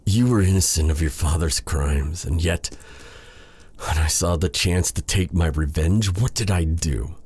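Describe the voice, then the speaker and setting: A man playing a brooding, remorseful dark sorcerer in an intimate fantasy ASMR roleplay, speaking formally and haltingly to the listener in a husky, breathy voice. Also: low-pitched, full character voice. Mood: bitter, resolute. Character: brooding, remorseful dark sorcerer, bitter yet tender captor.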